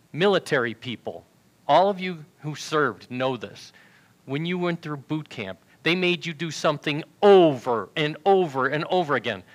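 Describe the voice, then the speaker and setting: Bright-voiced man in his sixties preaching. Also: on the high side. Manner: earnest preaching, reads scripture aloud from a tablet. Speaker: pastor. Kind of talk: sermon. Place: large church sanctuary with choir risers. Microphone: headset mic.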